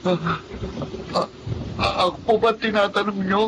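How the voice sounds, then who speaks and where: A man's voice: sits high, little pitch movement, normal speed, noisy background. An actor playing a poor, hungry, mistreated boy in a radio drama, plaintive and timid. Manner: plaintive, timid, talking to himself. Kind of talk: dramatic first-person radio storytelling.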